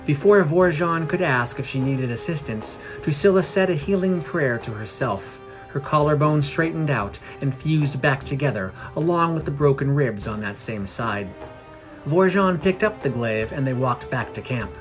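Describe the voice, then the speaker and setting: A man's voice: fairly high pitch, lively intonation, moderate pace, music in the background. A man reading scripted narration for a fantasy adventure story. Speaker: narrator of a fantasy fiction podcast.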